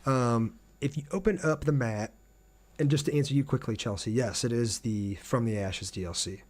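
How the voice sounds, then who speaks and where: Male game streamer, mid-pitched voice, casual play-by-play, thinks aloud and talks to viewers. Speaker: livestream gamer, host. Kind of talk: casual livestream gameplay commentary.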